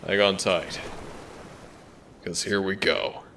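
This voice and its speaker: Man voicing a deep, earnest dragon prince. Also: fairly even intonation, slow, very noisy. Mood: resigned, tender. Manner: earnest, formal old-fashioned phrasing. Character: protective young male dragon prince, tender and worried. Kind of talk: romantic audio roleplay acting.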